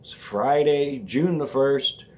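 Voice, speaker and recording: A man hosting his own vlog, slightly raspy from allergies, talking casually and rambling, often checking in with the viewer. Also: mid-range voice, takes it slowly, darkish tone. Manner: chatty, rambling, checks in with "all right?". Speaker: vlog host. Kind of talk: casual talk to camera.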